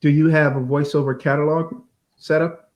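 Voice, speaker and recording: A man's voice: a little high, flat delivery, moderate pace, over a noisy crowd. A man co-hosting a podcast, asking questions and often chiming in with agreement. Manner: affirming, interjects agreement often. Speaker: podcast co-host and author. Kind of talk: casual interview questions.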